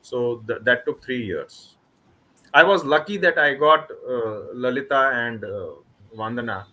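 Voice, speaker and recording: Bright-voiced Indian man, halting. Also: mid-range voice, poor audio. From Kashmir, India. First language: Kashmiri. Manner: halting, with many restarts and repeated words. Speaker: author. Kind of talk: casual online book club chat.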